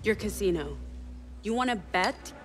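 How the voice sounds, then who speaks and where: A woman's voice: mid-range voice, animated, moderate pace, lightly acted. An actress playing a cocky, ruthless woman crime boss and street racer in a video game cutscene, with a menacing manner. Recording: music behind the voice.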